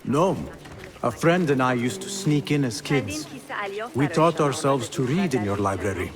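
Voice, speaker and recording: An actor playing a purposeful young assassin, wry and observant, in a video game. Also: male voice, mid-range voice, lively intonation, unhurried, a crowd in the background, natural voice. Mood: pensive, reserved.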